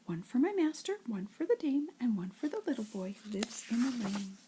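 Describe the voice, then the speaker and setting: Older woman with higher-pitched voice reading aloud. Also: sing-song, normal speed, noisy background. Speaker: grandmother reading children's poems. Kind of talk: expressive read-aloud of nursery rhymes and poems.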